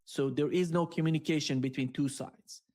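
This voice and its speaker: Higher-pitched man giving political commentary. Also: steady tone, normal speed, crowd noise.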